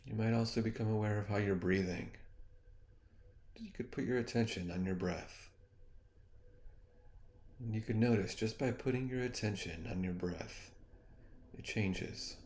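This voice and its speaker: A man with a deep, creaky voice leads a guided meditation. He speaks very slowly, leaving long pauses between soft suggestions. His manner is soothing and hypnotic, like a teacher easing a listener into rest.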